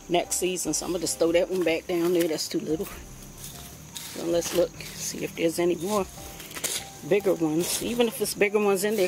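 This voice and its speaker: A woman's voice: lowish voice, low-quality recording. A woman chatting casually while she films her backyard garden and her indoor plants on a handheld phone, in a rambling, homey way. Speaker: vlogger showing her garden. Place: backyard outdoors, then indoors by a window.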